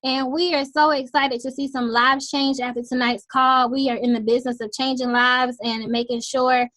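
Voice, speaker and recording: A woman hosting a team sales call in an upbeat pitch, her voice a little raspy from losing it, with lots of "um" fillers. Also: little pitch movement, normal speed, sharp tone, no echo. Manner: enthusiastic, frequent "um" fillers.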